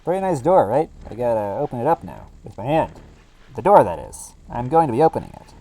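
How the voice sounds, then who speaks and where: A man plays an awkward storybook henchman. His voice is mellow but bobs up and down as he haltingly over-explains the obvious. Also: mid-range voice, huge pitch swings, voice pushed up, low-quality recording. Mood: awkward stalling. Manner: halting, repetitive, states the obvious.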